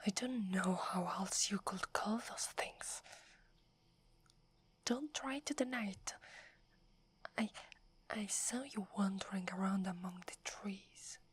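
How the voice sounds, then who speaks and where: A woman's voice: medium pitch, slow, long pauses, husky. Woman whispering as a scornful werewolf guardian. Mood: accusing. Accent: Italian. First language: Italian. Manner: scornful, accusing. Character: proud wary female werewolf forest guardian. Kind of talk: ASMR roleplay.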